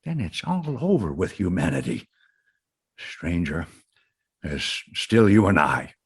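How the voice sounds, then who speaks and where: An actor playing a shaken survivor who keeps asking anxious questions in a radio drama. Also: male voice, sits low, huge pitch swings, slow pace, slightly rough, exaggerated voice.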